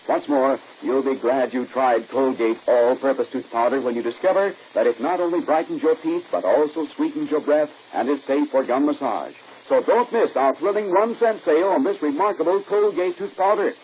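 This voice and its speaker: A man's voice: fairly high pitch, darkish tone, low-quality recording. A man with an American accent announcing in polished, emphatic 1940s radio style, like a scripted salesman. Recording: hissy old radio recording.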